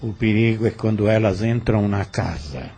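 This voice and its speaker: An actor with a Brazilian accent playing a sly, falsely deferential plantation overseer in an audio drama, measured and insinuating. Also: male voice, lowish voice, vocal fry, dark tone, husky. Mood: slyly menacing. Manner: measured, insinuating, mock-courteous.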